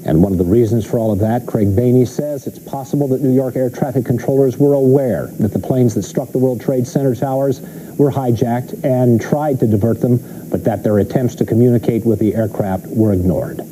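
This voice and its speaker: A man's voice: medium pitch, fairly quick, dark tone, a little hiss or hum, rough recording. An American man anchoring a TV newscast with scripted delivery. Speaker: news anchor.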